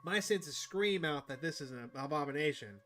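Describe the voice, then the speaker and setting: A man's voice: a little high, animated, moderate pace, a bit bright, a little hiss or hum. Man voicing a prim, appalled talking dog. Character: fastidious talking pet dog, appalled and wary.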